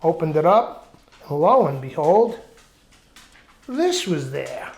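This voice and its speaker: A man rambles through a home cooking vlog as its host, telling loose stories. His voice sits slightly high. The sound is roomy and echoey. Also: very animated, slow pace, gravelly. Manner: rambling, chatty storytelling. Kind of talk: casual vlog chat. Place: outdoor backyard kitchen.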